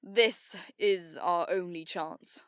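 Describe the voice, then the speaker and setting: A resolute leader rallying her team with a commanding, measured delivery, as a woman plays her. She speaks slowly and deliberately. The sound is dry and close. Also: on the low side, a bit bright, clear, resonant tone, dry recording.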